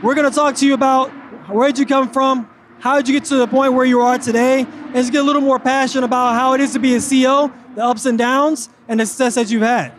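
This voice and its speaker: A man hosting an interview in a lively, upbeat way, speaking outdoors at a loud racetrack. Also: extremely high pitch, fast talker, slight background noise. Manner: upbeat, hype-driven. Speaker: host.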